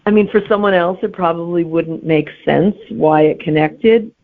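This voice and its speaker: A woman's voice: on the low side, moderate pace. A middle-aged woman talking casually as an interview guest, over a narrow, tinny line. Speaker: guest author and teacher.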